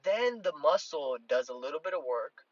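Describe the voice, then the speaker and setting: A man's voice: on the high side, sing-song, moderate pace, dry recording, dull audio. A man who hosts a podcast as a strength coach, explaining training in a casual, conversational way, often restarting his sentences. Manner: conversational, many restarts, hand-waving examples.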